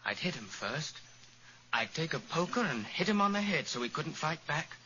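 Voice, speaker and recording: An actor playing a childlike, simple-minded young man, naive, earnest and halting, in 1940s radio drama style. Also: on the high side, big pitch swings, pushed and bright. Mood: childish menace. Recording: dull, noisy old radio recording.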